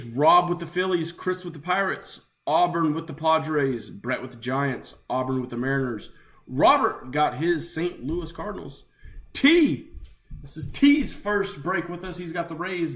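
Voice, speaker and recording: A young adult man hosting a live sports card break, slightly raspy and chatty as he opens packs. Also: a little high, expressive, measured pace, a bit bright. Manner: upbeat, chatty, talks while opening packs.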